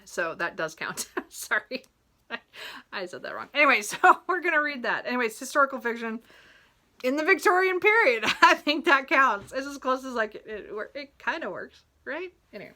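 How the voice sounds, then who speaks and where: Bright-voiced woman, chatty book vlogger. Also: high voice, expressive, normal speed. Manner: rambling, self-correcting, apologetic; giggles often while talking. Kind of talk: casual, chatty talk about a reading list.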